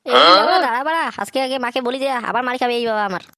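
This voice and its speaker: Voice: mid-range voice, animated, racing, fairly bright tone, dry recording, studio quality. A performer voicing a cheeky, nervous tattletale son in an amateur comic cartoon, stammering and cursing. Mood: scandalised, sneaky. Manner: stammering, foul-mouthed. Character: cheeky tattletale son, nervous around his scolding mother.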